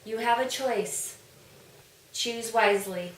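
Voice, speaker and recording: A woman's voice: on the high side, slow, roomy sound, recorded from a distance, a little hiss or hum, low-quality recording. A woman voicing a cruel male jester who makes cold threats. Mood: menacing. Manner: menacing, commanding.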